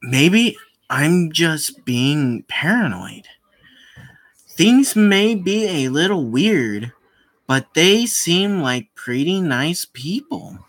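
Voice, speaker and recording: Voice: mid-range voice, sing-song, drawn-out words, pitched above the performer's own voice, full character voice. A gaming host voicing the anxious, hesitant hero of a visual novel, thinking to himself. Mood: hesitant, hopeful.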